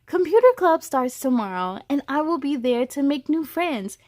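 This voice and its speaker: Female children's read-aloud host, high voice, expressive storybook delivery, engages young listeners directly. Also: moderate pace.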